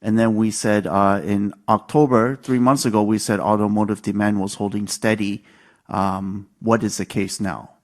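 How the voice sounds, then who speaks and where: Male investor relations moderator, lower register, orderly and explanatory as he restates questions. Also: fairly even intonation, normal speed.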